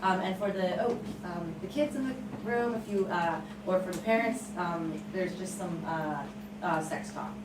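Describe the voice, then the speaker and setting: A woman hosting an event with informal, halting, apologetic introductions. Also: fairly low pitch. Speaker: event host. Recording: echoey room, distant, clear noise.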